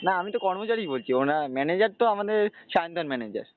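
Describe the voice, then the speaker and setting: A man's voice: high voice, animated, fast. A man on a prank phone call, talking in a mock-earnest, insistent way. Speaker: prank caller. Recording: narrow phone line with noise.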